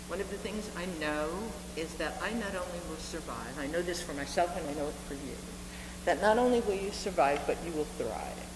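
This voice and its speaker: A woman in her seventies delivering a sermon as a reverend, speaking earnestly and deliberately with repeated phrases for emphasis. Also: low voice. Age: 70s. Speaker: reverend preaching to a congregation. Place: reverberant meeting hall with a congregation. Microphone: live speaking microphone. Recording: echoey hall, noisy room with hum.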